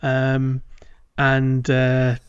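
A man's voice: mid-range voice, completely flat delivery, extremely slow, clean tone, lo-fi sound. A man co-hosting a podcast, chatting casually about a video game in a hesitant, chuckly, conversational way. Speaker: podcast co-host.

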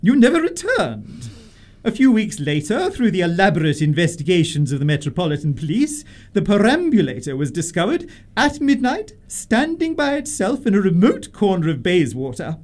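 A woman's voice: fairly low pitch, big pitch swings, normal speed, a little mellow. A woman playing a haughty, commanding older aristocratic lady in a comic radio play. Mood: stern, accusing. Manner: haughty, commanding, grandly emphatic. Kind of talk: stage comedy radio acting.

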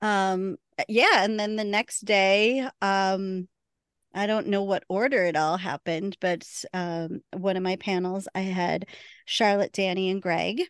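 A woman's voice: mid-range voice, fairly even intonation, a little slow, clear, resonant tone, dry recording, studio quality. A woman co-hosting a podcast, chatting casually and laughing often. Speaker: podcast co-host.

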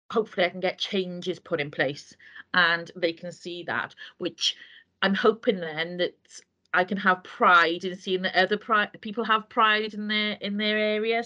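A Welsh woman from Wales, a community councillor, talking earnestly in a casual interview and pausing often as she gathers her thoughts. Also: mid-range voice, sharp tone, lo-fi sound. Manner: earnest, meandering with frequent hesitations.

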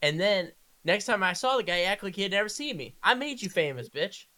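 A man co-hosting a casual podcast, brash and quick to swear with mock outrage. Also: sits high, sing-song, sharp tone, no room sound. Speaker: podcast co-host.

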